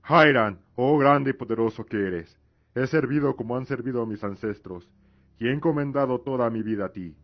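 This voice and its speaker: An actor playing a proud, devout middle-aged lord and father in a fantasy radio drama, speaking in a measured, formal, earnest way. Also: medium pitch, dull audio, natural voice. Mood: pleading, reverent.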